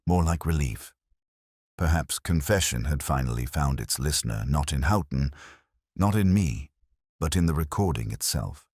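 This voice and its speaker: A man narrating a literary detective mystery in the first person, in a measured and solemn way. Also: extremely low pitch, husky, no room sound, clean studio sound.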